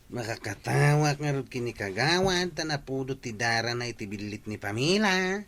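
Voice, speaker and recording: A man's voice: mid-range voice, animated, moderate pace, fairly bright tone. A man playing a blunt, teasing villager in a comedy drama.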